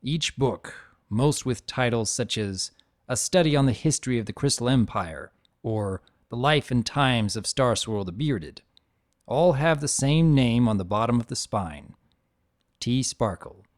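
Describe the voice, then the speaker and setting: Guy doing old dragon narrator for a storybook reading, gentle n nostalgic, kinda sentimental, medium pitch. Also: expressive, natural voice. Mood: fond reminiscence. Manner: reflective, unhurried, sentimental storytelling. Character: aged dragon, gentle, nostalgic, fondly guarding keepsakes. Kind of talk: dramatic first-person storybook reading.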